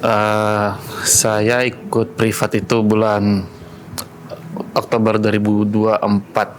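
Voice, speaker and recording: A man's voice: fairly low pitch, completely flat delivery, slow pace. A man with a Jakarta accent casually giving a testimonial as a training participant. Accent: Indonesian, Jakarta. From Jakarta, Indonesia. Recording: faint background noise, room ambience.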